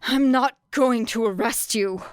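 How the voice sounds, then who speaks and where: Woman as a gruff older male hero, exasperated, strained, loud calls, dry audiobook sound. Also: a little high, a little slow, no echo, pitched above the performer's own voice, close to an everyday voice.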